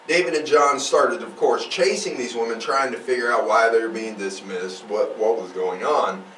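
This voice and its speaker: A man's voice: mid-range voice, a bit bright. A man hosting a podcast, chatting casually in a rambling, conversational, explanatory way. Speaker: podcast host. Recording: roomy echo, distant mic, faint noise.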